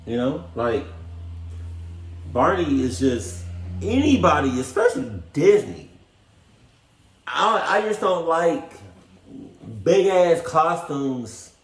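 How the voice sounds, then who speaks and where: A man giving casual reaction commentary in slangy, profane, incredulous talk. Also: medium pitch. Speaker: reaction video host. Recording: roomy echo, music behind.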